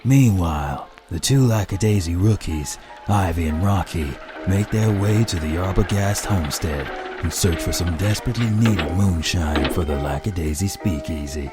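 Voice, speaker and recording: Loud music accompanies a jazzy old-time serial announcer acted by a man. The voice is deep and showy, delivering scripted narration for an audio drama. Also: moderate pace, hardly any pauses, natural voice.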